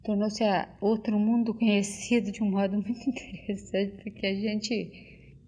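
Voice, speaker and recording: An older woman, recalling things as an interviewee and often pausing to search for words and restart. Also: medium pitch, flat delivery, a little mellow. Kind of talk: casual spoken reminiscence. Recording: dull lo-fi tape recording.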